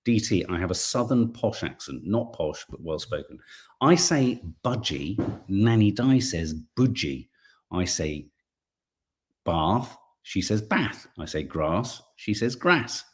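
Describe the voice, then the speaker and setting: Male host, casual live-stream chat, reads comments aloud and stumbles now and then, lively, up-and-down delivery. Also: fairly low pitch, takes it slowly. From English Midlands. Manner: chatty, reads comments aloud, trips over words.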